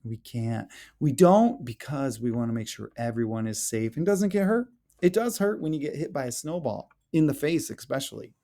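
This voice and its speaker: Male children's read-aloud voice: soft-edged mellow tone, very expressive melody, playful school-educator delivery with exaggerated questions. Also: medium pitch, moderate pace. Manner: animated, playful, exaggerated questions and exclamations, addressing children directly. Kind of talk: picture-book read-aloud for young children.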